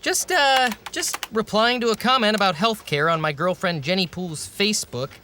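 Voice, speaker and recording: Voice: mid-range voice, expressive, moderate pace, pushed and bright, slight background noise. An actor playing a naive, polite young male newsroom junior with a puzzled, innocent manner in a radio comedy. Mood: innocently puzzled. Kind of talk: 1930s radio comedy acting.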